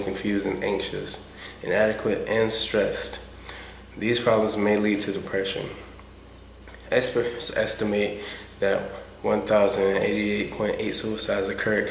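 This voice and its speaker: A male narrator delivers scripted educational material. His intonation stays flat and even throughout. The recording is echoey, with faint background noise. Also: fairly low pitch, gravelly.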